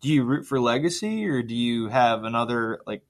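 A man's voice: medium pitch, moderate pace. Informal, enthusiastic man hosting. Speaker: podcast co-host. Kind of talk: casual interview chat.

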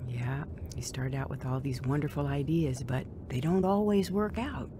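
A woman playing a gentle, devout young divorced mother in a radio drama. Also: a bass voice, moderate pace, background noise. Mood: gentle, encouraging.

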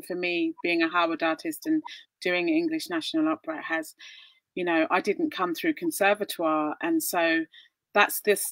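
A bubbly woman hosts an interview over a video call from her home. Her voice is bright and crisp, with a dry, close sound and no room around it. She laughs often and breaks into excited exclamations. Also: on the low side, no room sound, low-quality recording. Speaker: host. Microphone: laptop webcam on video call.

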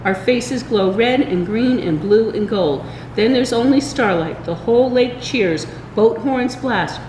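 An older woman reading a children's storybook aloud, expressive and deliberate, with small stumbles. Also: a little high. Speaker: library story time reader. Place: indoors in a large library room. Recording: roomy echo, faint background room noise.

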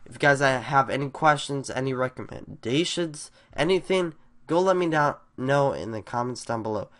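A congested teenage boy talks to his channel's viewers in a loose, rambling way, full of fillers and restarts. His voice sits at an ordinary middle pitch, and he sounds eager. It is recorded on a desktop mic at home. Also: lively intonation, normal speed, a bit bright. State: congested from a cold. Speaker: YouTuber. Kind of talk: casual vlog talk to viewers. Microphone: desktop USB mic over screen recording.

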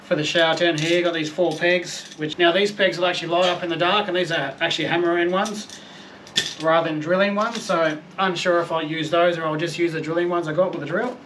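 A laid-back Australian man in his thirties talks through camping gear in an easygoing, self-deprecating way, with the odd mild swear word. His voice sits a little high and stays fairly flat in pitch. A faint outdoor hiss sits behind him, as he is recorded on a patio by a camera mic at some distance. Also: fairly quick. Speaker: camping vlogger. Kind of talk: casual product review and unboxing. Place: outdoor patio, then a garage.

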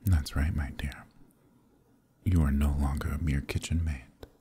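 A man voicing a suave, possessive demon prince in an ASMR roleplay, speaking in a hushed, purring near-whisper. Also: little pitch movement, unhurried, a little hiss or hum. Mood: indulgent. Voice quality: deep gravelly voice.

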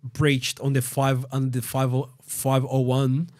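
A man, telling his story as a podcast guest in a casual, slangy way, with many restarts, recorded on a boom mic in a studio. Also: unhurried. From Brazil. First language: Portuguese. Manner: animated, slangy, restarts and repeats words. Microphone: studio mic on boom arm.

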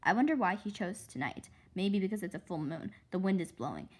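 An imaginative little girl played by a woman tells her story with anxious insistence. Her voice is a bit husky and swings in pitch for dramatic emphasis, in storybook read-aloud style. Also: animated, a little fast, darkish tone. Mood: insistent. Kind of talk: children's book read-aloud.

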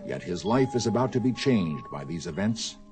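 A man's voice: lowish voice, animated, normal speed, music in the background. A man narrating a radio drama in a dramatic, scripted style.

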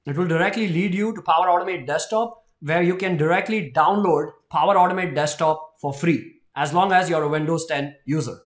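A man in his thirties giving an explanatory tech announcement presentation, explaining step by step with emphasis. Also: sits high. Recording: roomy indoor echo.

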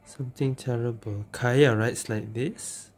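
A woman's voice: rumbling low, steady tone, measured pace. A woman doing casual let's-play commentary, reacting aloud to a video game in short remarks. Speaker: gaming YouTuber. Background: loud game music.

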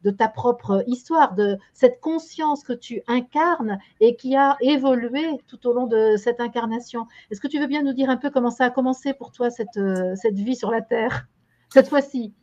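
A woman's voice: fairly high pitch, normal speed, mellow. Older woman hosting, dull webcam sound. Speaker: host of a daily online show. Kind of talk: interview hosting. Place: home room. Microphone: video call webcam.

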